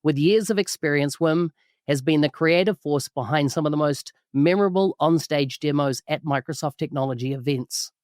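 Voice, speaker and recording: A man's voice: a little high, moderate pace, darkish tone, no echo, studio quality. A man hosting a podcast, enthusiastic and full of praise. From New Zealand. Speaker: podcast host. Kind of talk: podcast interview.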